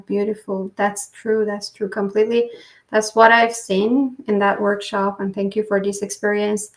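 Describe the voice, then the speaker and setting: A woman hosting a podcast interview, speaking in halting phrases full of fillers. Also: medium pitch. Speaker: podcast host. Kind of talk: interview conversation. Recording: echoey room.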